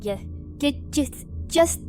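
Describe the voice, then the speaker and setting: Woman playing a timid, high-voiced teenage girl. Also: expressive, over music. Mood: frightened pleading. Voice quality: stammering. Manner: hesitant, halting. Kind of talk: audio drama acting.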